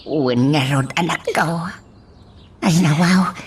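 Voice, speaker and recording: An actress playing a guilt-stricken parent lamenting in drawn-out wails in an Ilocano radio melodrama. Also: female voice, low-pitched, noisy background. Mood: guilty lament.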